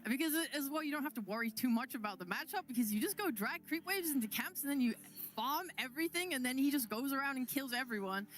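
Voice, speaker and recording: A woman with a fairly high-pitched voice works as an esports analyst. She talks in a casual, conversational way on a panel. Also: expressive, fast, soft background music.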